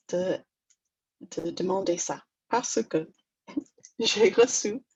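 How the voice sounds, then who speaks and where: A woman's voice: medium pitch, creaky, a little mellow, slightly rough, dull audio. A woman from Toronto with an English Canadian accent, speaking French as a second language in a webinar, hesitant and self-deprecating with many fillers. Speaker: negotiation trainer. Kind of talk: webinar presentation.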